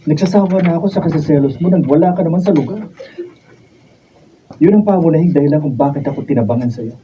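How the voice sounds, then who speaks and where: A man's voice: fairly high pitch, fast, lightly acted. A man acting a weary, fed-up husband in a Filipino radio drama, with a Filipino accent. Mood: exasperated. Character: weary, fed-up husband gone cold toward his wife. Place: radio drama recording session. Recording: dull, distant, slightly noisy raw video.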